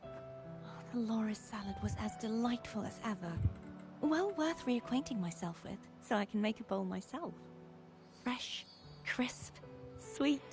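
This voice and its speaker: A woman playing a gentle young knight in a video game, speaking in a measured, softly formal, wistful way. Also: a little high, animated. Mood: serene, pleased. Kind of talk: scripted video game voice acting. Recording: game audio with music underneath. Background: music.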